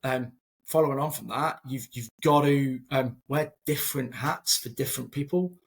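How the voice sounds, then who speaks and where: A man's voice: mid-range voice, normal speed, creaky, breathy edge. A man in his thirties from Scotland, a company founder interviewed over a video call, talking earnestly and often repeating words as he thinks. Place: small home room.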